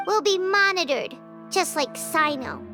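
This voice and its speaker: A squeaky, feisty little fairy. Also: female voice, lively intonation, measured pace, over music. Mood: dismayed. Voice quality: squeaky, childlike. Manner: indignant, outspoken, exclamatory. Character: tiny feisty childlike female fairy companion. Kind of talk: cartoonish video game voice acting.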